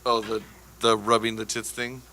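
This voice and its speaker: A man's voice: fairly low pitch, level tone, normal speed, crowd noise. A man co-hosting a podcast, teasing his co-host with dry jokes in casual chat.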